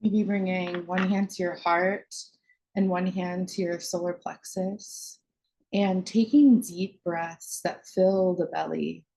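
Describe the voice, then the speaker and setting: A woman guides a meditation in a lowish, very mellow voice. Her delivery is very slow and soothing, leaving long pauses between short phrases. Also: steady tone, gravelly, low-quality recording.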